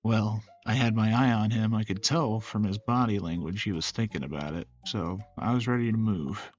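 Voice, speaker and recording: A man playing a protective, observant male character in a visual novel, low-key and steady. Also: moderate pace, muffled recording, faint music behind, lightly acted. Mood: calm, supportive.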